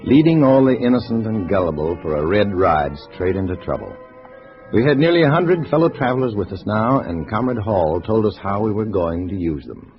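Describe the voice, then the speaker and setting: An actor playing a guarded undercover agent, terse and hard-boiled, in a 1950s radio drama. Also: male voice, on the low side, big pitch swings, moderate pace, faint music behind. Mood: grim. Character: guarded male undercover agent posing as a communist. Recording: dull vintage radio recording.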